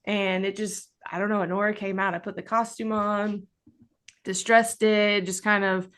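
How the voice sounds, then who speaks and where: A woman guest on a podcast, telling a story casually and acting out quoted dialogue with big jumps in her voice. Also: normal speed. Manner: animated, acts out quoted dialogue with pitch jumps. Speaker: guest, actress and haunt performer.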